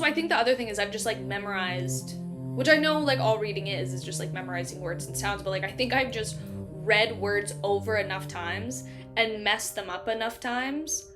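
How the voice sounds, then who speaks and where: A woman casually chatting and reading a novel aloud. She laughs often, uses many fillers and still stutters on some words. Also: on the high side. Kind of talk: casual chat between passages of a novel read aloud.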